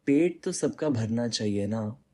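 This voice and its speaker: A man's voice: mid-range voice, expressive, measured pace, warm tone, no room sound, close to an everyday voice. A man playing a poor, hungry orphan boy in a cartoon story, meek and earnest. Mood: meek pleading. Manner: meek, pleading.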